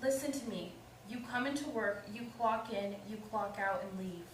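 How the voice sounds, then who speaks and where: A woman's voice: medium pitch, moderate pace, close to an everyday voice. A woman playing a firm, shrewd, protective character in a staged play reading, blunt and commanding. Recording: echoey room, distant mic, faint noise.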